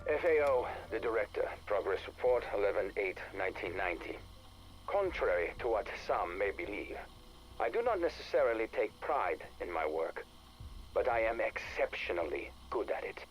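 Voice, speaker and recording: Cold, low-voiced interrogator. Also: male voice, big pitch swings, slight background noise, rough recording. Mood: cold, clinical. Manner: formal, measured, self-assured. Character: cold, formal, proud male interrogator. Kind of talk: acted dictated progress report.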